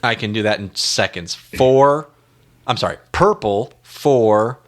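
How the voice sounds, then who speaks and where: A man co-hosting a casual music discussion, halting and repeating himself for emphasis. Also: on the low side. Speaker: music podcast co-host.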